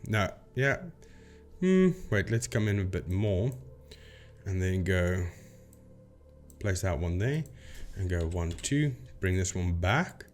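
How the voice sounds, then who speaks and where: A man's voice: low voice, sing-song, takes it slowly, pauses often, a little mellow. Casual male video host, thinking aloud. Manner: informal, thinking aloud, addresses viewers directly. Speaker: video host, paranormal debunker. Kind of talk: casual explainer with audio-editing demonstration.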